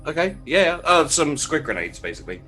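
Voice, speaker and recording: Bright, lively male game master. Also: on the high side, fairly quick, soft background music. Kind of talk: casual tabletop game narration. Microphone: headset on an online call.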